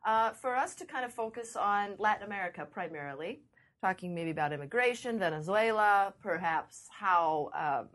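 A woman hosting a talk show, introducing the guest with fillers and pauses. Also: mid-range voice, expressive, a bit bright. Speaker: host.

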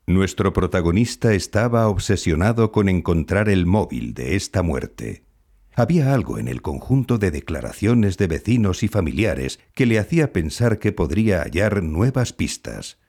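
A man playing a true-crime podcast narrator, delivering measured, suspenseful scripted documentary narration. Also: sits low, big pitch swings, a little mellow, exaggerated voice. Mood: measured, intriguing.

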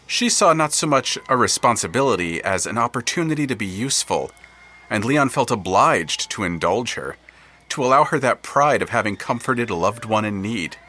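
A man narrating literary audio fiction in a wry, precise, deliberate manner. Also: medium pitch, expressive, sharp tone.

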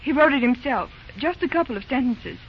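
A woman's voice: sits high, animated, a little fast. An actress playing a spirited young woman, a railroad director's daughter, speaking earnestly and a bit primly in a 1930s radio drama. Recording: dull old radio recording with faint hiss.

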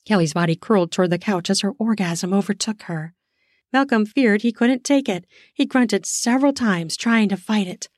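A woman's voice: medium pitch, moderate pace, dry recording. Woman narrating an intimate story, dry sound. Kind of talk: scripted narration of an erotic story.

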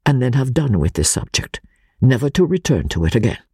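An anguished, honour-bound gentleman from abroad, voiced by a man, pleading solemnly in a classic audiobook drama. The voice is very husky and breathy, often hushed, and swings expressively in pitch over a dry recording. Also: sing-song, fairly quick, no room sound, heavily acted. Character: anguished foreign gentleman confessing secret brotherhood, honour-bound and fearful.

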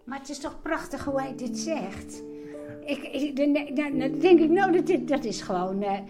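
A woman's voice: high voice, lively intonation, moderate pace. An older woman in personal conversation, speaking emphatically and often repeating her phrases. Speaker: interviewee, wife and mother. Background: music.

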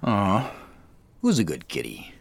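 A man's voice: on the low side, wildly swooping pitch, slow, vocal fry, noisy background, heavily acted. A man playing a casual, probing detective in a naturalistic audio drama, dry and inquisitive. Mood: relaxed, playful. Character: dry, inquisitive male detective working a case.

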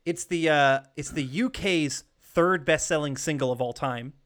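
A man's voice: a little high, a little slow, a bit bright. A man co-hosting a casual podcast chat, talking enthusiastically and swearing casually. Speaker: podcast co-host.

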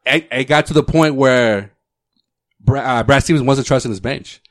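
A man's voice: medium pitch, normal speed, fairly bright tone, no echo, studio quality. Emphatic man in casual sports talk. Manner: emphatic, repeats himself, swears. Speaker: sports podcast co-host.

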